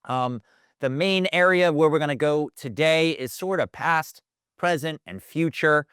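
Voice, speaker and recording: Man, podcast host, smooth clear voice, dry sound, casual and chatty. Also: fairly high pitch, measured pace, fairly bright tone, no room sound. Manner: friendly, loose and conversational. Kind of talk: casual interview hosting.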